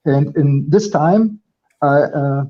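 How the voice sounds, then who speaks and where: A man from Germany, a native German speaker, talking in halting English, often repeating words as he searches for them. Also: on the high side. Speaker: guest rider. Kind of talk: casual chat in non-native English. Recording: dull lo-fi stream audio.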